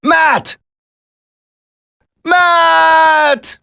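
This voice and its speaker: A man playing an earnest, steady special forces operative in a dramatic video game. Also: very high voice, very slow, gravelly, dry recording, shouting, studio quality, full character voice. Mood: alarmed.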